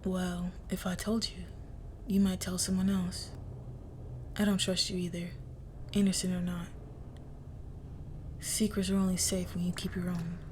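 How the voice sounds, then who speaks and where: A cool crime heiress, acted by a woman, speaks very slowly in an even, controlled tone. She is teasing and condescending, with quiet menace and breathy asides, in an intimate audio roleplay. There is some noise behind the voice. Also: fairly low pitch, natural voice. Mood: coolly seductive. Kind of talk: scripted ASMR-style roleplay monologue.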